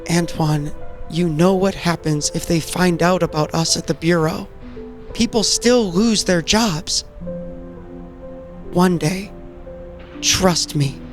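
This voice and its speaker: Audio drama: male doctor-agent, thirty man acting, weary swagger, guarded, higher-than-average voice, music bed. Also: takes it slowly, long pauses. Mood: guarded, apologetic.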